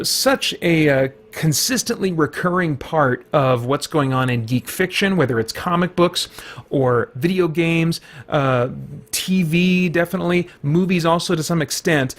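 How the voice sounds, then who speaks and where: A man hosting a podcast, running through community news in a casual, unscripted way, thinking aloud as he goes. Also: fairly high pitch.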